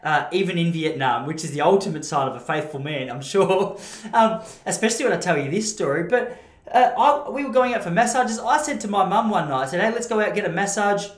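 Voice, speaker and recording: A man's voice: high-pitched, animated, a little fast. An Australian man hosting a podcast, telling comic stories in a rambling, self-interrupting monologue. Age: adult, married. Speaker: podcast host. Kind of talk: comic storytelling monologue to camera. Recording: roomy, echoing room sound.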